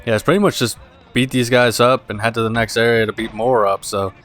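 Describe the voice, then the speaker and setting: A young man giving casual gameplay commentary, tired and rambling in a monotone voice. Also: medium pitch, a little fast. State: exhausted. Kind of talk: casual let's play commentary. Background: game music.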